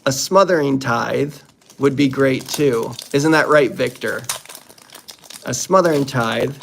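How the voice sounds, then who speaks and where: A man's voice: medium pitch, takes it slowly, vocal fry, fairly bright tone, a little hiss or hum. A man hosting a casual unboxing commentary, talking in a playful, jokey, exclamatory way. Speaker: host opening card packs.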